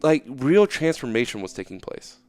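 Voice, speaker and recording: Smooth-voiced man telling his story. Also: medium pitch, moderate pace, no echo, soft background music. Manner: animated, casual, frequent "like" and "right?". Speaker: interview guest. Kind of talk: casual storytelling interview.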